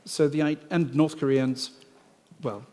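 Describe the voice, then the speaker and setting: A man moderating a conference panel, brisk and chatty, laughing lightly now and then. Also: fairly high pitch, fairly even intonation, warm tone. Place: conference hall. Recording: reverberant conference hall.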